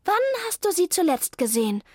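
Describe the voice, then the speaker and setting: Woman voicing a brisk clever girl cat. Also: high-pitched, big pitch swings, mellow, no echo, clean studio sound, voice pushed up. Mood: eager. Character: clever eager female cat, quick and decisive.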